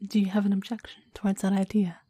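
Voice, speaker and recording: A woman voicing a possessive, devoted demon in an intimate ASMR roleplay, speaking in soft breathy whispers with a formal, intense, adoring manner. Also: mid-range voice, flat delivery, quick pace, no room sound, natural voice. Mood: insistent. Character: possessive, devoted clingy demon bound to a summoner.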